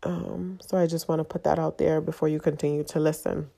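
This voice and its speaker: A woman hosting her own podcast, congested and a little nasal from a cold, talking candidly in a halting, confessional way. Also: deep voice, flat delivery, vocal fry, warm tone. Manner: halting, confessional, with frequent fillers. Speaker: podcast host. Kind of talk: candid personal monologue.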